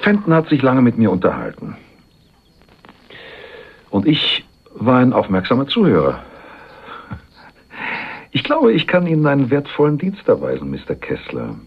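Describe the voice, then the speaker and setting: Husky, sly would-be hitman. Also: mid-range voice, huge pitch swings, moderate pace, pauses often, full character voice. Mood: sly, insinuating. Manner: sly, insinuating, self-assured. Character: cunning, cynical man offering himself as hired killer. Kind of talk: 1980s German radio crime drama. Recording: dull, muffled radio recording.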